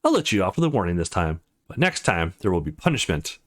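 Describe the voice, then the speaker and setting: A man's voice: deep voice, sing-song, quick pace, pitched below the performer's own voice. A man voicing an easygoing young man in a visual novel who plays a bossy teacher, mock-stern but laid-back.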